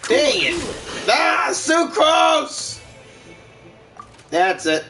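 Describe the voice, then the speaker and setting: Man doing game commentary, high and lively voice, bright tone, slow unhurried delivery, bursts of excitement. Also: faint music behind. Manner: excitable, with sudden shouts and laughs at good plays; reads card text aloud in a plain, measured way. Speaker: gaming channel host. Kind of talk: casual gameplay commentary.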